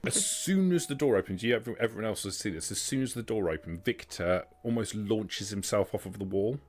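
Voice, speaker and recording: A man with a very deep voice runs a tabletop game as game master. He improvises the narration and explains things deliberately, phrase by phrase. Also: expressive.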